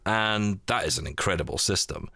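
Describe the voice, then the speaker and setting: A man's voice: low voice, normal speed, creaky, sharp tone, no echo, studio quality. A man hosting a podcast, asking rambling, hedging questions in casual chat. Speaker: podcast host.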